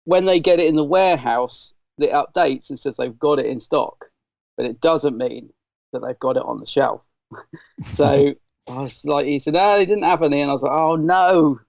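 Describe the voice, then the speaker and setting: A middle-aged British man with a slightly croaky, dry throat, chatting casually and enthusiastically as a podcast host. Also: fairly high pitch, moderate pace, darkish tone, dry recording, muffled recording. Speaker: podcast host reviewing Doctor Who. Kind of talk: casual chat.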